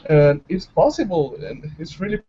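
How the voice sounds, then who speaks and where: A man asks questions in a fairly high but mellow, dark voice, halting as he searches for words. The sound is a bit dull and lo-fi. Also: very animated. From El Salvador. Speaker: interviewer. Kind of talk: interview questions. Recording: slightly dull lo-fi recording.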